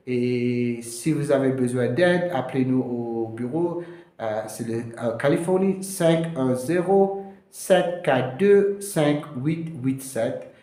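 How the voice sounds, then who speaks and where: A man from Mauritius, a lawyer explaining to camera in a halting way, full of fillers and English terms. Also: medium pitch, dark tone, reverberant. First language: Mauritian Creole. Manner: hesitant, many fillers, slips into English terms. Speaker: immigration lawyer.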